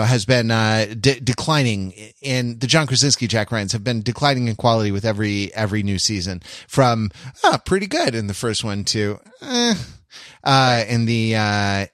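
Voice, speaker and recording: A man's voice: medium pitch, a bit bright, no echo. Wordy, wry man chatting, dry sound. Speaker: podcast host. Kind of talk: casual chat about TV shows.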